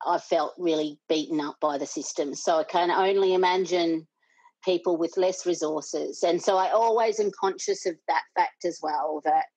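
A woman's voice: on the low side, steady tone, moderate pace, a little mellow, breathy edge, no room sound, low-quality recording. A middle-aged Australian woman, a parent and board member, speaking earnestly in an interview with many restarts. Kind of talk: conversational interview.

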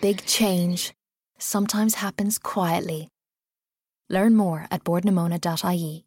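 A woman narrating a measured corporate advertisement. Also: fairly low pitch, fairly even intonation, darkish tone, husky, no echo, studio quality. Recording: faint ambient sound effects behind.

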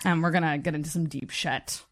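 A woman's voice: low-pitched, fairly even intonation, a little fast, no echo. A woman hosting a podcast in a casual, chatty way, with a big voice, gushing and swearing freely. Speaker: podcast host.

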